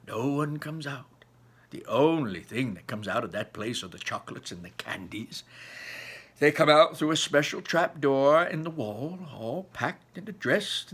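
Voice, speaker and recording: A man voicing an excitable elderly grandfather telling a mysterious storybook tale, dramatic and dropping to hushed tones for the mystery. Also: a little high, breathy edge, full character voice. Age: older.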